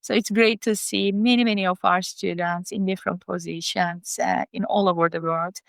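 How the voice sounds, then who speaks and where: Middle-aged woman, Turkish accent, creaky yet clear. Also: mid-range voice, steady tone, normal speed, no echo. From Turkey. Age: 50s or older. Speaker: guest, college dean. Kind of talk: interview answers.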